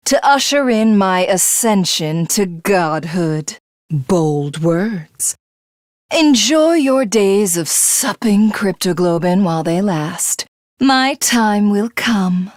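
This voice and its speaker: A woman's voice: medium pitch, dry recording, studio quality. A woman voicing a megalomaniacal, contemptuous female tyrant who craves godhood, in theatrical video game villain style.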